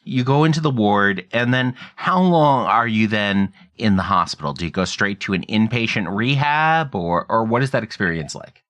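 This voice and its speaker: Male host, low voice, measured and explanatory delivery. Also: expressive, fairly bright tone. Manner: measured, thoughtful, explains medical terms. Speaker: podcast host and stroke survivor. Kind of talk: interview questions and commentary.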